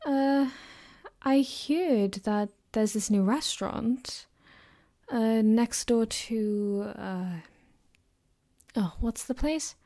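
A woman playing a gentle, caring girlfriend in an ASMR roleplay, speaking softly and hesitantly, sometimes whispering, close to the mic. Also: a little high, drawn-out words. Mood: relaxed, affectionate. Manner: soft-spoken, hesitant, rambling. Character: gentle caring girlfriend, comforting her partner. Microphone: close binaural microphone.

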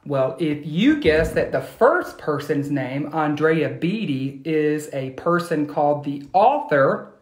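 An American man, a teacher in his thirties, reading aloud to children and asking them questions in an expressive, instructional way, recorded on a phone at home. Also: on the high side, takes it slowly. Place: small room at home, indoors. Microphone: phone at arm's length. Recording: echoey room, phone recording.

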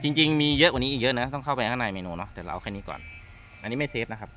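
A man's voice: medium pitch, expressive, racing, bright, forceful tone. A man giving an informal hands-on product walkthrough as a trainer, repeating words as he explains. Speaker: product trainer demonstrating network testers. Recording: muffled lo-fi audio, music underneath. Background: background music.

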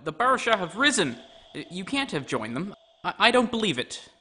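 Casting: male actor as wounded, defiant fantasy prisoner, higher sharp voice, earnest stammering delivery. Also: big pitch swings, a little fast, a little hiss or hum, exaggerated voice. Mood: shocked defiance. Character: dazed, defiant captive man, earnest and wounded. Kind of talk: radio drama acting.

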